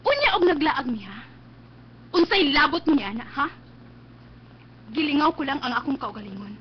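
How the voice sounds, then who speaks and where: An actress playing a defiant, hot-tempered young daughter in a Philippine radio drama, snappish and scornful, with a Cebuano accent. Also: female voice, high voice, sing-song, normal speed. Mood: angry, defiant. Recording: dull lo-fi webcast with noise.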